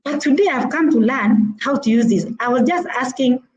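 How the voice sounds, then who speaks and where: Earnest Kenyan woman, warm mellow voice. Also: sits high, moderate pace, muffled recording. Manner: earnest and advising, repeating points with frequent "uh" hesitations. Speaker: motivational speaker. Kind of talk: instructive talk on networking. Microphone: video call.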